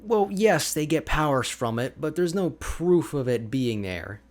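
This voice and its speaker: A man playing an energetic, boastful, chatty ice mage hermit in an ASMR roleplay, with a playful, expressive, conversational delivery. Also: fairly high pitch, unhurried. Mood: chatty, opinionated. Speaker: audio roleplay voice actor.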